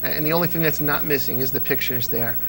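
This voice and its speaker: A man's voice: fairly high pitch, fast talker, gravelly, fairly bright tone, a little hiss or hum, poor audio. A middle-aged man chatting casually as an interview guest, telling anecdotes with enthusiasm and emphatically repeating words. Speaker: interview guest, old-time radio fan.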